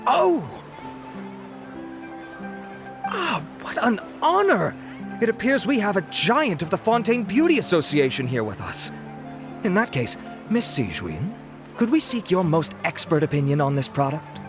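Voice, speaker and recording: Game casting: vain, dashing young inventor, polished salesman patter, slightly high, very expressive, music underneath. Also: moderate pace. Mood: polite. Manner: smooth, theatrical sales pitch. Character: vain, charming young male researcher and salesman. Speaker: product presenter. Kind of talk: video game voice acting.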